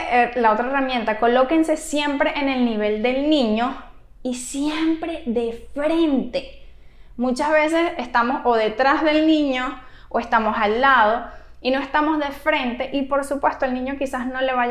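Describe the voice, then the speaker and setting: Female therapist for a parent advice video: high voice, unhurried, emphatic and animated, roomy sound. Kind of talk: explanatory video advice to camera. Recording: roomy, echoey room sound.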